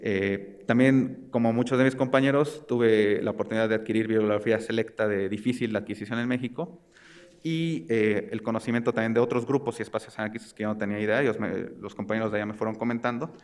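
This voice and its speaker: A man's voice: lowish voice, steady tone, a little mellow. A young man with a Mexican accent giving an academic research presentation, speaking hesitantly and often searching for words. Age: young adult. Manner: hesitant, halting delivery with searching pauses. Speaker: graduate student presenting research.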